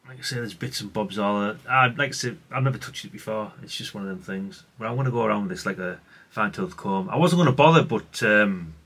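Low, bright-voiced British man, rambling. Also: fairly quick, hardly any pauses. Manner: rambling, self-deprecating, mildly sweary. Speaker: hobbyist radio repair presenter. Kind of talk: casual unscripted hands-on demonstration.

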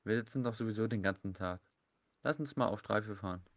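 An actor playing a brisk, dutiful patrol officer in a radio play. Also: male voice, lowish voice, lightly acted. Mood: urging.

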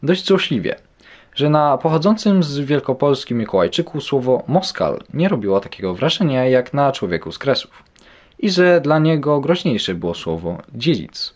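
A man reading short scripted commentary in an expressive way. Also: medium pitch, moderate pace.

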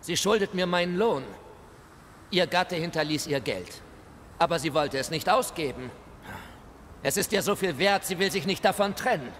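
An actor playing the embittered, polite ghost of a colonial shop clerk, with formal, theatrical delivery in a video game. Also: male voice, sits high, moderate pace, a bit bright. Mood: indignant, insistent. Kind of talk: video game dialogue acting. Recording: hall reverb, noisy ambience.

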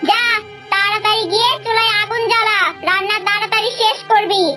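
Lady doing a mean cartoon village mom, super high pitched, scolding and threatening, music underneath. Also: little pitch movement, brisk, bright, forceful tone. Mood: angry scolding. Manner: harsh, berating, taunting. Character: cruel, scolding village mother, shrill and threatening. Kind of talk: cartoon fairy-tale acting.

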